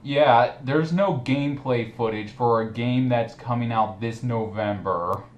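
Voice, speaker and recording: A man's voice: medium pitch, a little slow. A man hosting a casual group commentary chat, speaking in a mocking manner. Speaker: commentary video host. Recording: echoey room with clear background noise.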